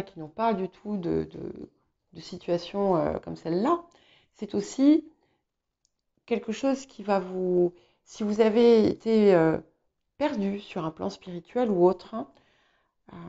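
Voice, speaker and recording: A woman's voice: medium pitch, unhurried, vocal fry, dark tone. A woman whose first language is English, giving an informal tarot reading in a hesitant, reassuring manner, often searching for words. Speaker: tarot reader hosting guidance videos. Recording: slightly dull, muffled sound.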